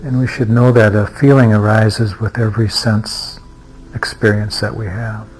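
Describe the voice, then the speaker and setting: A man leading a guided meditation as a teacher, speaking in an unhurried way with long pauses and hesitant restarts. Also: fairly low pitch, level tone, poor audio. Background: music.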